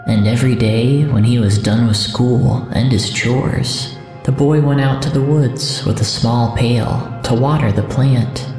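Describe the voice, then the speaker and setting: A man narrating a scripted audio drama in a solemn, deliberate storytelling manner. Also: medium pitch, a little mellow. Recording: echoey, with music underneath. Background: music.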